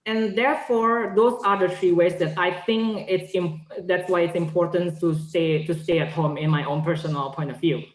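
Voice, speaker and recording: A woman's voice: lowish voice, steady tone, moderate pace, hardly any pauses. A young woman giving formal, persuasive speech as a competition finalist, earnest and structured. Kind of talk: formal persuasive public speaking. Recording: echoey room sound.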